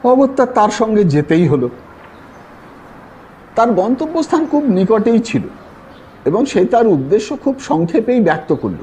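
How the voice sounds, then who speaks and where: A man narrating a classic story in an expressive, theatrical way. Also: sits high, normal speed, pauses often, slight background noise. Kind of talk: dramatic audio-story narration of classic fiction.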